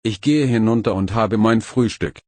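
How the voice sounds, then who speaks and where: A man reading practice phrases one by one for learners, slow and careful. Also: lowish voice, darkish tone, no room sound. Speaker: phrase reader.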